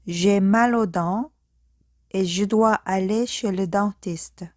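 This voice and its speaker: A woman teacher leads a speaking drill, pronouncing each short phrase slowly and clearly before repeating the full sentence. Her voice sits at an ordinary middle pitch. The recording is close and dry, with no room sound. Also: darkish tone, no room sound. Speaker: French language teacher. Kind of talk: slow speaking-practice drill.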